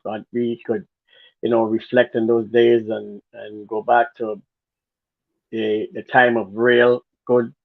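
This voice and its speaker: Guyanese guy talking on a tinny call, earnest, kinda rambling, flat pitch, dry. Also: takes it slowly, no room sound. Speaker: guest, former dancer. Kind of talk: casual interview talk. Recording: phone-like narrow sound.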